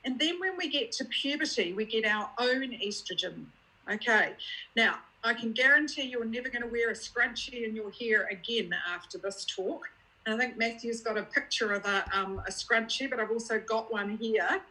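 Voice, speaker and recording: Bright-voiced New Zealand woman explaining. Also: fairly high pitch, moderate pace, low-quality recording. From Scotland. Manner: explanatory, plain-spoken, uses props and checks understanding. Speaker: guest speaker, child abuse unit clinician. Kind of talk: educational online talk.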